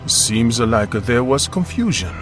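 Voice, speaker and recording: An actor playing a tired, caring middle-aged father from South Korea, speaking calmly in a told story. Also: medium pitch, slow, faint music behind. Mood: puzzled. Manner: calm, weary and caring. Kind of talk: radio-style story acting.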